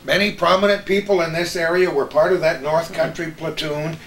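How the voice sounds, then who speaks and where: A man's voice: a little high, fairly even intonation, fairly quick, a bit bright, reverberant, distant mic. An American man, middle-aged or older, hosting a conversation and telling stories from memory. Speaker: host. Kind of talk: reminiscing conversational storytelling.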